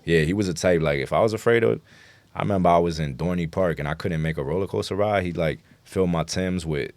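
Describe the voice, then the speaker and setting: A man's voice: sits low, fast, darkish tone, no room sound. A man with a New York accent chatting casually as an interview guest, telling stories emphatically and with lots of slang. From New York City. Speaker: guest rapper.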